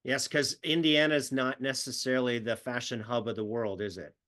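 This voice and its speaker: A man's voice: medium pitch, steady tone, moderate pace, fairly bright tone. A man hosting a business interview show, friendly and laughing often. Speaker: podcast host, business coach.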